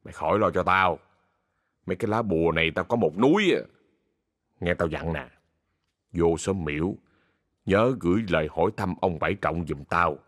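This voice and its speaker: Casting: gruff rural male driver acted by a man, middle-aged, southern Vietnamese accent, low voice, blunt yet caring, horror audio story. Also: moderate pace, pauses often, a bit bright, no echo, studio quality. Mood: gruff concern. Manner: blunt, folksy.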